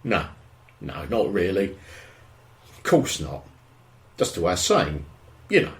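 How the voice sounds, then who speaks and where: An actor playing a nosy, grumbling suburban husband with a morbid streak in an audio drama, speaking matter-of-factly with a British accent. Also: male voice, deep voice, huge pitch swings, slow, exaggerated voice. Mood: dry, grumbling. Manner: grumbling, opinionated, matter-of-fact. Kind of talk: audio drama dialogue, naturalistic.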